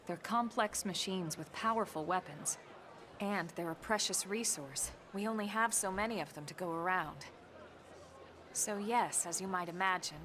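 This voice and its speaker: A woman playing a serious, composed military officer in a video game, explaining things in a measured, matter-of-fact way. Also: fairly low pitch, noisy background, lightly acted. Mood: firm. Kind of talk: English video game cutscene acting.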